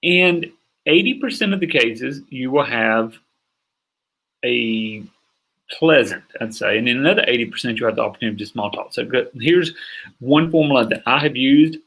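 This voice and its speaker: Husky-voiced man coaching sales. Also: mid-range voice, moderate pace, rough recording. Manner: energetic, emphatic, acts out sample calls. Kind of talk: online course lecture.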